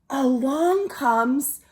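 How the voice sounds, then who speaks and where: A woman reading aloud expressively to young children as a librarian, with playful asides and dramatic pauses. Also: sits high, darkish tone. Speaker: children's librarian, storytime reader.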